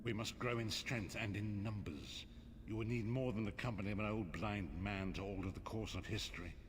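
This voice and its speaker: A male actor plays an old, blind prophet guiding a hero in a fantasy game. His voice sits fairly low. He speaks with solemn, kindly formality over faint ambient noise. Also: a little fast, close to an everyday voice. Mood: solemn, urgent. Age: older. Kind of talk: fantasy video game dialogue.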